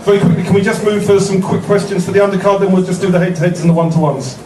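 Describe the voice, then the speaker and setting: A man, a boxing promoter running a press conference, businesslike and halting with fillers. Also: sits high, steady tone, a crowd in the background. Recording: echoey room, far mic, noisy.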